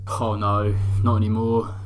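An actor as a shy young boxing fan. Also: male voice, fairly low pitch, completely flat delivery, measured pace, slight background noise, natural voice. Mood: chatty, proud. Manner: casual, colloquial, hesitant. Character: shy, soft-spoken young plumbing apprentice, boxing fan. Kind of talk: audio drama dialogue. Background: music.

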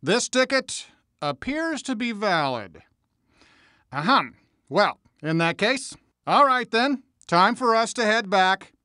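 A man's voice: high-pitched, big pitch swings, takes it slowly, bright, forceful tone, no room sound, heavily acted. A stern train conductor from a video game. He is middle-aged and officious, speaking in formal, clipped phrases with a pompous air. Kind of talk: animated video game character acting.

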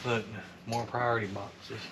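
A man's voice: mid-range voice, level tone, measured pace. Joking Southern man, noisy storage hall. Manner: joking, teasing, easygoing drawl. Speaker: storage unit buyer. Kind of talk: casual chat while digging through a unit. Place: indoor storage unit hallway. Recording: loud background noise.

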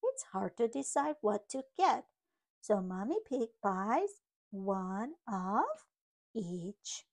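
A woman reading a picture book aloud to young children, in simple, deliberate phrases as a storytime host. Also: medium pitch, sing-song, a little mellow.

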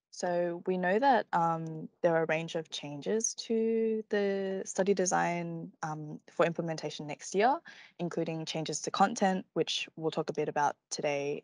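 A woman hosting an online webinar, talking with many ums and pauses over a video call. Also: low-pitched, creaky, no room sound, clean studio sound. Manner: hesitant, many fillers. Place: home room, video call.

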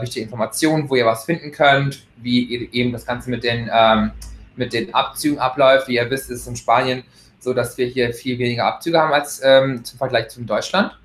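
A man in his thirties from Germany gives a casual recruitment testimonial over a laptop webcam, hesitating often with fillers and restarts. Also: medium pitch. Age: 30s. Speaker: employee giving a testimonial. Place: bright room at home, indoors. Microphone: laptop webcam, video call. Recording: echoey room, laptop mic.

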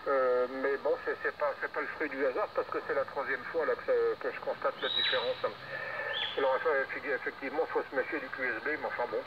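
A man's voice: medium pitch, steady tone, a little fast. A man heard over radio, chatting casually in a rambling, repetitive, hesitant way. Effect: radio transmission. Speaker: amateur radio operator. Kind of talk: casual radio contact chat. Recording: distant muffled radio speaker, very noisy. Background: loud radio and outdoor noise.

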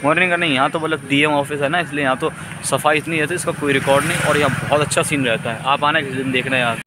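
Young Indian vlogger over loud street noise. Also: male voice, fairly high pitch, fairly even intonation, quick pace. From Uttar Pradesh, India. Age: young adult. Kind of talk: casual vlog chat to viewers. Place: outdoors on roadsides and on a motorbike. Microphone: phone at arm's length. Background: traffic and motor noise.

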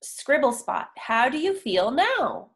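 A young adult woman reading a story aloud for young children, enunciating deliberately with pauses between words. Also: high-pitched, very animated, a bit bright. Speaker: children's picture book reader. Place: indoor room, on a video call.

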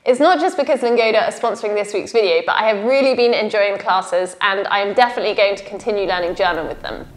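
A woman's voice: mid-range voice, fast. A woman in her thirties from the United Kingdom, chatting to the camera at her desk at home in an upbeat way. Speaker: vlog co-host. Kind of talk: sponsor segment to camera. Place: home office indoors.

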